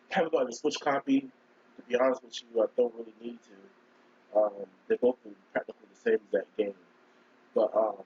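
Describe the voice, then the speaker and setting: Hoarse man with a cold, clearing his throat. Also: medium pitch, a little mellow, dull audio. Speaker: gaming streamer. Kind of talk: casual live-stream chat.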